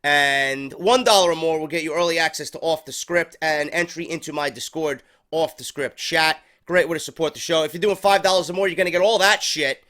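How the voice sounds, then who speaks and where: A man's voice: on the high side, brisk, few pauses, bright, forceful tone. A man with a stuffy head cold hosting a casual wrestling review show, rambling and swearing freely. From New York. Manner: profane, rambling, unscripted.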